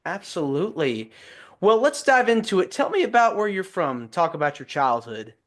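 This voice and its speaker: A man hosting a podcast, warmly upbeat and enthusiastic as he introduces and questions his guest. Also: high-pitched, big pitch swings, moderate pace. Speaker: podcast host.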